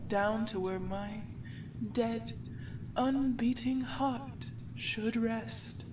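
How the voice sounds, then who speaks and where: Woman acting a vampire villainess, audio roleplay, extremely slow theatrical drawl, mocking, seductive, distant from mic, noisy background. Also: mid-range voice, natural voice. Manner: taunting, theatrical, drawn-out delivery. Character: ancient female vampire baroness, menacing, mocking, seductive villain.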